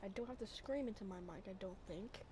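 A woman streamer giving casual, rambling gameplay commentary, sniffly and low on energy, on a desk mic. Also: quick pace, noisy background. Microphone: new desk mic.

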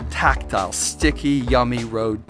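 An author narrates his self-help audiobook with a mid-pitched male voice. His delivery is expressive and emphatic, with an irreverent, sweary edge. Music plays faintly behind him in the studio recording. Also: unhurried. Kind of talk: expressive audiobook narration with candid, rambling asides.

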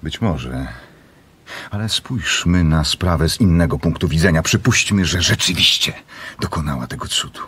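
Calm doctor, radio drama, very low breathy voice, measured, quietly persuasive. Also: male voice, exaggerated voice. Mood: probing, intent. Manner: measured, deliberate questioning. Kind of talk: Polish radio play acting.

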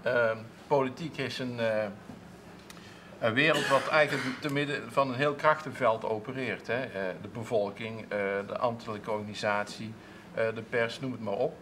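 A man's voice: medium pitch, steady tone, moderate pace, noisy background. A man in his thirties, a council clerk, answering interview questions in a TV studio with many restarts and fillers. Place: TV studio with seated audience, at a table.

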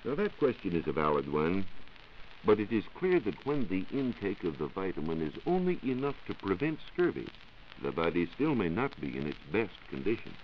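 An American man giving a scripted, measured science talk as a reporter on a 1940s radio show. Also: sits low, wildly swooping pitch. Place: radio studio. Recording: muffled 1940s radio recording with hiss.

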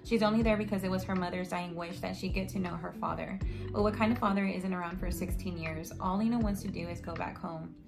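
A young woman in her twenties, a bit hoarse and raspy after losing her voice, chatting casually about books and reading blurbs aloud in her bedroom. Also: fairly low pitch, steady tone, quick pace. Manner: chatty, reads book blurbs aloud, frequent "like" and "um". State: slightly hoarse from yelling at a concert. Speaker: book vlogger. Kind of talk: casual book haul chat. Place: bedroom, indoors. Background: background music.

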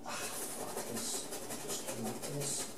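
Slow Norwegian man painting in a roomy studio. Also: distant mic, noisy background. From Norway. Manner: halting, restarts, trails off, swears casually. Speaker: painting teacher. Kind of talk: casual painting demonstration. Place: art studio, indoors.

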